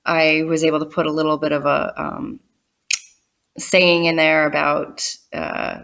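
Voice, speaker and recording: Female read-aloud presenter, low register, expressive and chatty teaching delivery, heavily roomy sound. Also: unhurried, gravelly. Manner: expressive, with conversational asides and questions to listeners. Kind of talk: read-aloud storytelling and instructional explanation. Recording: roomy echo, distant microphone.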